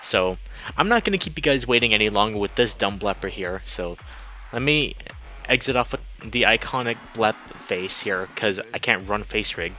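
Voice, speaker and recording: A man hosting a game livestream, chatting casually and excitably with his viewers. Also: lowish voice, moderate pace, faint music behind. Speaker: game streamer and host. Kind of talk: casual livestream chat while playing a visual novel.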